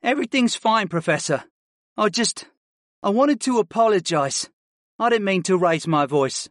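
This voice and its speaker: Casting: loyal young summoner boy man performing, high and earnest, slightly defensive, fantasy audiobook. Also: expressive, normal speed, dry recording, studio quality. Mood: apologetic. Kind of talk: audiobook character acting.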